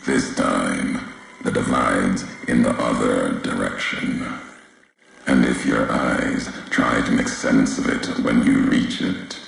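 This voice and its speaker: An actor playing a brooding, vengeful wasteland courier in a video game, grave and world-weary, speaking solemnly and deliberately in clipped, cryptic phrases with a gravelly voice. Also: male voice, extremely low pitch, a bit bright, very echoey, slight background noise, full character voice. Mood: somber, cryptic.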